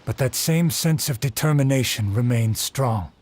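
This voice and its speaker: A man's voice: mid-range voice, normal speed, darkish tone, lightly acted. A man playing a stern, earnest young swordsmith who speaks formally in an anime-style game. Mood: grave.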